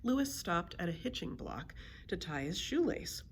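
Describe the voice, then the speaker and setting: A woman reading a children's storybook aloud as a librarian, in an expressive storytelling manner. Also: fairly low pitch, normal speed, slight background noise.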